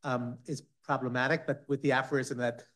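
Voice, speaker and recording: A man on a discussion panel, recounting a personal story in a halting way with many restarts. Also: mid-range voice, flat delivery, a bit bright. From Israel-based.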